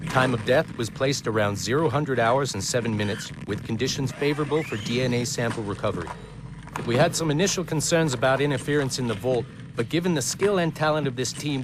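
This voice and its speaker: An actor playing a self-assured forensic team leader in a video game, dictating a formal, matter-of-fact report. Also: male voice, medium pitch, brisk, close to an everyday voice. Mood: clinical, matter-of-fact. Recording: noisy recorded log.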